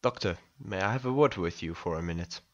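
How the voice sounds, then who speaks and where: A man's voice: low-pitched, a little fast, lo-fi sound, close to an everyday voice. A man playing a restless, self-important president in an audio drama, speaking in a formal, insistent way. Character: restless, self-important male president turned amateur sleuth.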